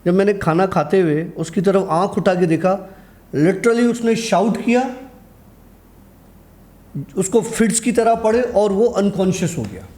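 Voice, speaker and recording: Mellow, higher-pitched man telling a personal tale. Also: a little slow, long pauses. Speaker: guest storyteller. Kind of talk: conversational personal horror anecdote.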